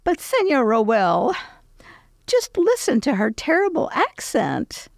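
A woman's voice: medium pitch, sing-song, takes it slowly, darkish tone, dry recording, studio quality, voice pushed up. A woman acting an indignant, jealous eighteen-year-old drama student in a radio play, whiny and protesting. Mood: petulant.

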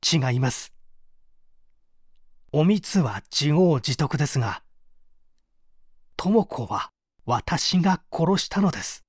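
An actor playing a weary, fatalistic murder suspect giving a dramatic confession in a mystery audio drama. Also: male voice, a little high, very animated, slow pace, pauses often, slightly rough, dry recording, clean studio sound, heavily acted. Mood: remorseful. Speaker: voice actor reading a story.

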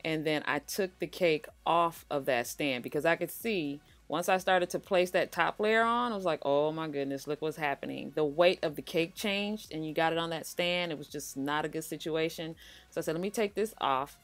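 Low-voiced woman narrating a baking tutorial. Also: normal speed. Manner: chatty, reassuring, speaks to viewers as "you guys". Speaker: cooking channel host. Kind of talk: relaxed voice-over baking tutorial.

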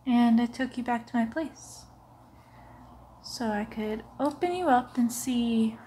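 ASMR roleplay casting: young woman as sinister female vampire doctor, highish voice, extremely slow, soothing, hushed and slightly muffled. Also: a little hiss or hum. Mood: falsely concerned. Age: young adult. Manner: slow, hushed, often whispering, soothing, deliberate. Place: living room, lying on a sofa.

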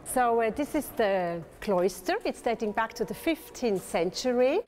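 A woman's voice: a little high, animated, unhurried, a little mellow. A woman in her fifties, a city tour guide, explaining the old town in a chatty, informative way. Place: old town streets and cloister, outdoors.

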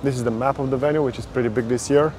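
A man's voice: medium pitch, steady tone, rapid-fire, a little mellow, slight background noise. A man hosting a casual walkthrough of a conference venue outdoors, chatty and upbeat. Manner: upbeat, chatty tour-guide delivery. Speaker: conference reporter and host.

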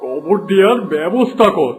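A man's voice: high voice, very animated, moderate pace, faint music behind. A man voicing a blunt, inquisitive wandering holy man in a fairy-tale cartoon, speaking in a familiar, questioning way.

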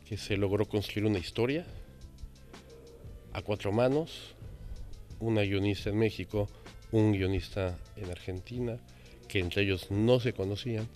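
A man in his fifties from Mexico, a radio director, speaks reflectively in an interview with measured pauses. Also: on the low side. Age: 50s. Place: indoor library.

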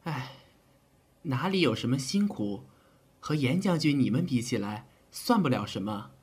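A man's voice: medium pitch, expressive, measured pace, darkish tone, breathy edge. A man playing an earnest, polite army physician in an ancient Chinese audio drama, with a hesitant, courteous way of talking.

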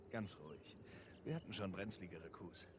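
A wisecracking treasure thief. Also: male voice, sits low, animated, a little slow, background noise. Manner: easygoing, wisecracking. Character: wry adult male treasure thief. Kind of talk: video game dub dialogue. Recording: loud game music behind.

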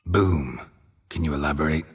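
An actor playing a stoic, laconic monster hunter in a video game, terse and deadpan with dry humor. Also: male voice, a bass voice, moderate pace, breathy edge, exaggerated voice. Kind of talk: video game dialogue acting.

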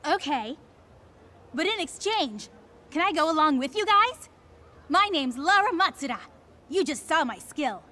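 A woman's voice: high-pitched, lively intonation, unhurried, pauses often, bright, forceful tone, heavily acted. A woman playing a brash, playful and bossy big sister who fights, in a video game cutscene, with a teasing, theatrical manner. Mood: cheerful, eager.

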